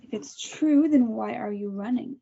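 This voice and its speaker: A woman's voice: fairly high pitch, normal speed, creaky, warm tone, lo-fi sound, slight background noise. A woman reading a cold, self-righteous captor at a table read, making clipped, controlled threats. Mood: contemptuous.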